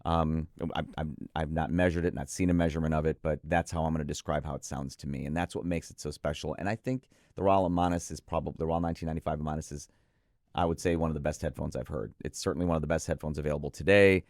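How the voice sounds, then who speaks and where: A man's voice: rumbling low, steady tone, fast talker, darkish tone, no room sound, clean studio sound. A man co-hosting a product preview, reviewing gear conversationally with emphatic, enthusiastic praise.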